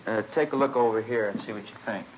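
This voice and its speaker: A dull, faintly hissy old radio recording of an actor as a male police officer. He talks quickly and eagerly, with a hesitant, deferential manner. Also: lowish voice, natural voice. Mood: eager, explanatory. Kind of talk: 1950s radio police drama.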